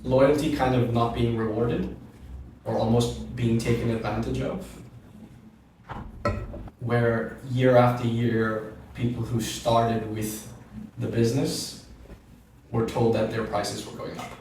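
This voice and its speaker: A man in his thirties, a gym co-founder, talking in a measured, reflective way on a podcast and often stopping to rephrase. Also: medium pitch, little pitch movement, darkish tone, far from the mic. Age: 30s. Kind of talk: podcast conversation. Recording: roomy echo, off-mic, faint noise.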